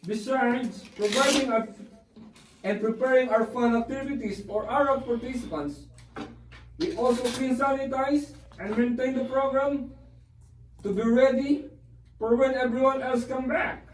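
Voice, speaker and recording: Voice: high voice, unhurried, slight background noise. A man voicing a polite, cheerful monkey puppet as a guest on a scripted puppet show, reading his answers carefully. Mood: polite, earnest. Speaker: interviewed guest who works in a day program. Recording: echoey room, far from mic.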